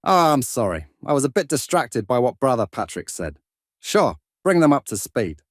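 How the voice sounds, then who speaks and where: Man as measured religious brother, explanatory and formal, sci-fi audio drama, very dry studio sound. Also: medium pitch, sing-song, no room sound. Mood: composed. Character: measured religious brother investigating a scientific mystery.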